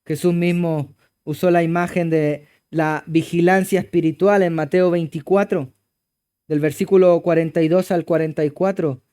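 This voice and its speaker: A Chilean pastor, a man, preaching in a high-pitched voice with a smooth, resonant clarity. He presses his points with emphatic exclamations, then drops to low, hushed passages. It is a church sermon delivered with conviction. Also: fairly even intonation, normal speed.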